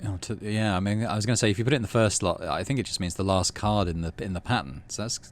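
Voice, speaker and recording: A man's voice: fairly low pitch, fast. A middle-aged man playing through a game, chatting casually and reading its narration aloud. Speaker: gaming channel host, player.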